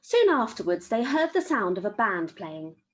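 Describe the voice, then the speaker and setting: A woman's voice: mid-range voice, big pitch swings, moderate pace, a little hiss or hum. A woman reading a children's story aloud as an amateur storyteller.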